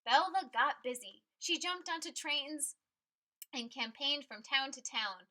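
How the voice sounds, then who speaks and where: A woman's voice: high voice, expressive, measured pace, bright, forceful tone. A woman reading a picture book aloud expressively, with emphatic, dramatic phrasing and stressed key words. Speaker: read-aloud storyteller.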